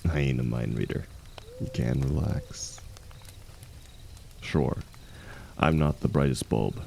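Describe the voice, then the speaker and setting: A man playing a seasoned ex-mercenary warrior in an intimate fantasy audio drama, gruff but kindly, gently teasing and unhurried in his talk. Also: rumbling low, a little hiss or hum, full character voice.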